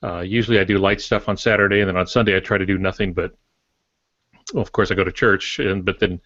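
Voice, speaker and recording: An older man co-hosting a casual podcast, speaking in a measured way with wry humour. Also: low voice, steady tone, a bit bright, no echo, lo-fi sound. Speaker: podcast co-host and working writer.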